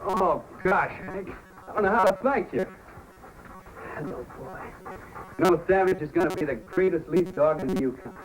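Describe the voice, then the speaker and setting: A man's voice: high-pitched, moderate pace, pauses often, lightly acted. A male actor playing a polite, eager young sled racer in an old-time radio drama. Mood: grateful, excited. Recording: noisy old radio recording.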